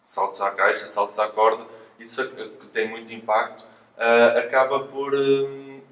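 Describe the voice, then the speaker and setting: A man's voice: mid-range voice, level tone, normal speed, fairly bright tone. A man with a European Portuguese accent explains things as an interviewed physiotherapist, hesitating and repeating words as he goes. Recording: roomy echo, distant mic, faint noise.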